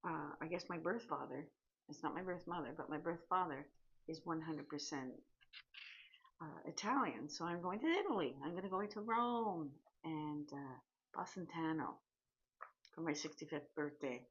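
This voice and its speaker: Husky woman in her sixties vlogging. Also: low-pitched, expressive, muffled recording. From Canada. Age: 60s. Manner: chatty, candid, with pauses and restarts. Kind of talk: casual personal vlog.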